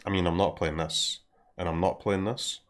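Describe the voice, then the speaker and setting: A Scottish man talking through his game as he plays, in a lowish voice. He thinks out loud, trails off into quiet mutters and keeps clearing his throat. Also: fairly even intonation, moderate pace. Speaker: game streamer. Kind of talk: casual live commentary while playing.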